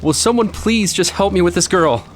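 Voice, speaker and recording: A man's voice: sits high, expressive, normal speed, soft background music. A man playing a strained, earnest teenage boy pleading for help in an audio drama. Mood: alarmed.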